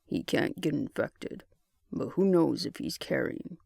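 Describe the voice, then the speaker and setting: A man voicing a good-humored, protective, slightly awkward survivor in their forties, with a gentle, teasing manner, in an audiobook. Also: fairly high pitch, expressive, unhurried, gravelly, dry recording, studio quality, full character voice. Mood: reassuring.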